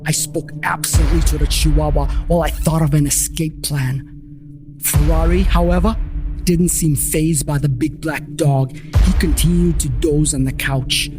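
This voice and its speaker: A man's voice: a little high, fairly even intonation, measured pace, over music. A man reading a scripted first-person story as its storyteller.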